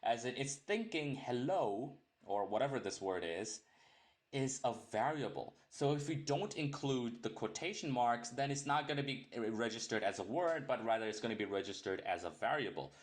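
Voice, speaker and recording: A man's voice: medium pitch, lively intonation, moderate pace. A young man teaching a programming lesson in a casual, rambling way, often correcting himself and joking as he goes. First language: English. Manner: rambling, self-correcting, jokey asides. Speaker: programming tutor. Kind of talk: casual screen-recorded coding tutorial.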